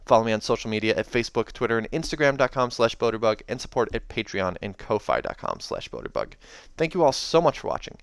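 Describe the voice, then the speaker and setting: A man hosting a read-aloud of a novel, narrating in an expressive, dramatic style. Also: medium pitch, quick pace, gravelly, clean tone, no echo, clean studio sound. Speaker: host reading a novel aloud. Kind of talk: dramatic audiobook narration with casual asides. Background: soundtrack music.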